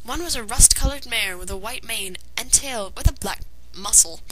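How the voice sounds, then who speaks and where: Woman narrating over clearly noisy recording. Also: a little high, moderate pace, sharp tone. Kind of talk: fan fiction story narration. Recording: noisy amateur home recording.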